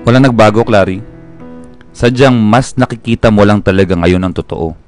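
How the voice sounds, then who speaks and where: A man's voice: fairly low pitch, normal speed, soft background music, natural voice. A man playing a protective but grumbling older brother in a Filipino radio drama. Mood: tender, reassuring.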